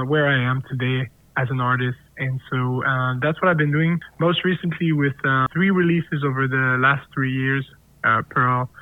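A man's voice: mid-range voice, no echo. French-native man on a tinny phone line. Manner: rambling, many fillers and restarts. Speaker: singer-songwriter guest. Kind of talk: casual interview answers.

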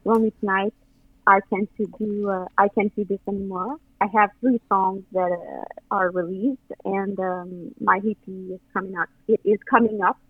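A woman's voice: mid-range voice, steady tone, moderate pace, no echo. A woman in her thirties whose first language is French, speaking English with a Quebec French accent, hesitant and full of fillers and restarts as an interview guest. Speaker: guest musician. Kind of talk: casual interview over the phone. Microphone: phone. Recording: tinny dry phone line.

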